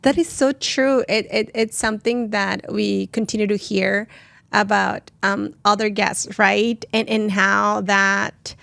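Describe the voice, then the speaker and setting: A woman's voice: mid-range voice, steady tone, slow, gravelly, a bit bright, dry recording. A woman hosting an interview show, asking questions in a conversational way with trailing fillers. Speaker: host. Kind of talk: casual interview chat.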